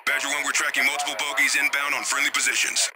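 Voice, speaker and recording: An actor playing a military radio operator in a shooter game, giving clipped procedural reports through a radio filter. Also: male voice, mid-range voice, flat delivery, quick pace. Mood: brisk, alert. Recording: very noisy military radio transmission.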